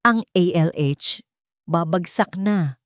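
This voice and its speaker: A woman's voice: on the low side, slow pace, dry recording, clean studio sound. A woman with a Filipino accent voicing a frightened, despairing younger sister in an audio story drama.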